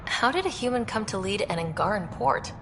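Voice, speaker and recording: A woman's voice: mid-range voice, lively intonation, brisk, fairly bright tone, in a large hall, slight background noise. A woman playing a composed young explorer hero in a video game, direct and dry in delivery. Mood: probing, dry. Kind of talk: video game cutscene acting.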